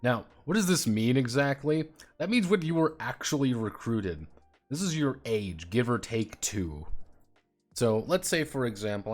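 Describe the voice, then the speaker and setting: A man streaming as he designs a tabletop game, explaining rules casually and muttering to himself while he works out the numbers. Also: mid-range voice. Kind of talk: casual thinking-aloud explanation.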